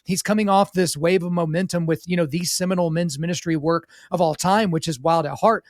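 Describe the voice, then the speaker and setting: Man in his thirties, podcast host, high voice, quick rambling chat, dry sound. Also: fairly even intonation, dry recording. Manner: rapid, rambling, talks through thoughts aloud. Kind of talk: casual interview chat.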